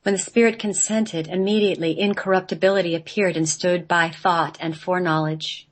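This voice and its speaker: A woman's voice: on the low side, fairly even intonation, a little fast. A woman reading a scripted sacred text as part of a radio play.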